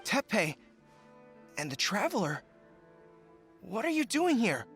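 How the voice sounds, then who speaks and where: An actor playing a loyal young resistance general in a video game, earnest, impassioned and resolute. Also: male voice, sits high, huge pitch swings, slow pace, a bit bright, faint music behind, full character voice. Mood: earnest, advising. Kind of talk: dramatic video game voice acting.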